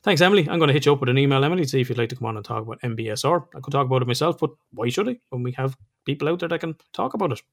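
A man's voice: mid-range voice, expressive, racing. Chatty Irish man on a podcast. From Ireland. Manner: chatty, laughs often, swears casually. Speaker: podcast co-host. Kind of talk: casual podcast chat.

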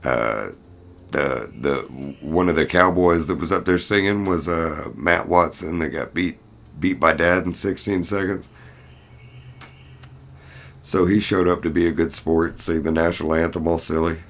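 A man with an extremely low, creaky voice talks like a casual podcast host. He rambles along slowly and dryly, swearing freely. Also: a bit bright. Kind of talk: casual solo podcast chat, voicing absent co-hosts.

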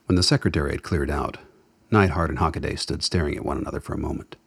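A man narrating a radio drama as a wry storyteller, with a husky voice and scripted, measured delivery. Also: rumbling low, no room sound, clean studio sound, heavily acted. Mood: measured, sly.

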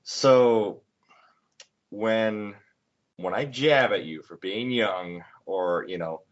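A low-pitched young man in his late twenties talks with a friend, rambling and restarting his sentences with plenty of fillers. The recording sounds dull and lo-fi. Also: extremely slow. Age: 20s. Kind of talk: casual chat.

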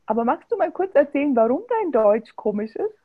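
A woman's voice: high voice, big pitch swings, a little fast, narrow, tinny audio. A woman interviewing a guest in a casual talk, appreciative and enthusiastic, with some fillers.

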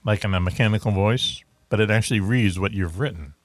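A man with a Boston accent hosts a casual talk show. His voice sits on the low side, and the sound is dry and close. He rambles, with plenty of fillers and restarts. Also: a little fast, no room sound. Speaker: radio show host.

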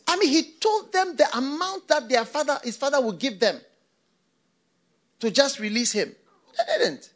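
A man's voice: extremely high pitch, lively intonation, moderate pace, long pauses, a bit bright. An older man from Ghana, a pastor, preaching a sermon with repeated phrases and rhetorical questions.

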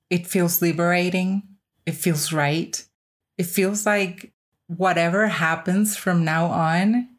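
Low-pitched woman reflecting on her life. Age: 30s or older. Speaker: podcast host. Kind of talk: personal reflective monologue.

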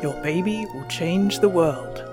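A slow, grand angel speaks a prophecy, as a woman puts it on. The voice reaches for depth and weight without quite getting there. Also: lowish voice, animated, music in the background. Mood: solemn. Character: solemn angel bringing a prophecy, deep.

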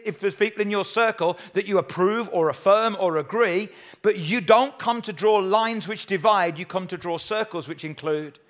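High-voiced man preaching a sermon. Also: a bit bright. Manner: emphatic, repeats key lines. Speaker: pastor.

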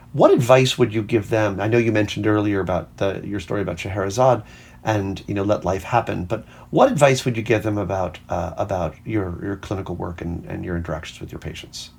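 A man's voice: on the low side, fast talker, creaky, breathy edge. A man hosting an interview, asking questions conversationally in hesitant, circling phrases. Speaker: interviewer, host.

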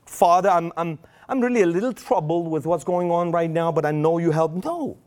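A man's voice: a little high, lively intonation, moderate pace, darkish tone. A man preaching a sermon in English with a Romanian accent, his first language Romanian, acting out quoted prayers with raised voice.

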